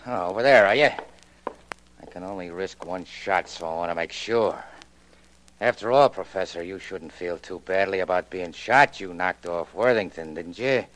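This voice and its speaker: An actor playing a ruthless crime mastermind in a 1940s radio drama, taunting and menacing. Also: male voice, low voice, moderate pace, fairly bright tone, poor audio. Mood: taunting menace. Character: ruthless, menacing male crime mastermind hunting his victim.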